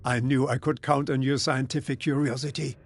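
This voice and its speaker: An actor playing a smug, insinuating man of science with a creaky voice in a dramatic game. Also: mid-range voice, level tone, fairly quick. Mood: smug, coaxing.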